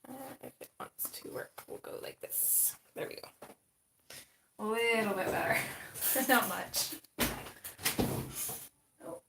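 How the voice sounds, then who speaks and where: A woman's voice: mid-range voice, slow pace, gravelly, long pauses, noisy background. Chatty woman livestreaming in an echoey room. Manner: chatty, greets viewers by name, thinks aloud. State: getting over a cold with a slight cough. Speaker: livestream host. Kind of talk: casual livestream chat while coloring. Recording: roomy echo.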